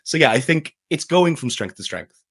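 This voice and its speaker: A man's voice: on the high side, very animated, normal speed, a bit bright. A man speaking as an interview guest in a casual, enthusiastic chat. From Wales.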